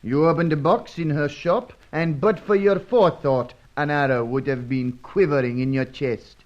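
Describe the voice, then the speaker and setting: An actor playing a watchful, deferential South American servant in an old radio drama, formal and insistent. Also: male voice, fairly high pitch, normal speed, natural voice. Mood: urgent, accusing. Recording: dull lo-fi old radio recording.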